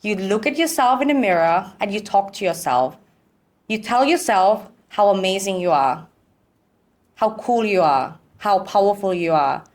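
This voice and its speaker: A woman's voice: mid-range voice, animated, moderate pace. Encouraging woman. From Thailand. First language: Thai and German. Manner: emphatic, encouraging, in simple non-native English. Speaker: podcast guest, MC and presenter. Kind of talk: candid motivational conversation.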